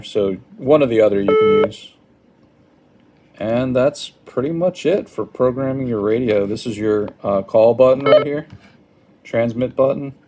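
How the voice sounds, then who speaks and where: A man with a slightly low voice walks through an informal how-to demonstration. He speaks haltingly and tentatively, as someone working it out while showing it. There is clear background noise in the home recording. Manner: hesitant, halting, apologetic. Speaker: product demonstrator. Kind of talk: informal hands-on tutorial. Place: indoors at a wooden table.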